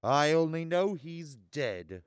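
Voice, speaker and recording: Man playing a smooth, droll, callous merchant. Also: a little high, big pitch swings, takes it slowly, no room sound, studio quality. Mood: droll indifference. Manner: flippant, dryly droll. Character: callous, droll city merchant gossiping about a death. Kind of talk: radio drama acting.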